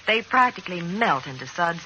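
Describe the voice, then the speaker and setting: Female radio announcer, sharp bright tone, lively intonation, scripted 1940s commercial, old broadcast recording. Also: lowish voice, a little fast, slight background noise, poor audio.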